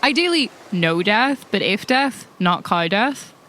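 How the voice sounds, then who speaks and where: A woman's voice: medium pitch, expressive, a little slow, a bit bright. A woman playing a tabletop roleplaying game, improvising banter in character.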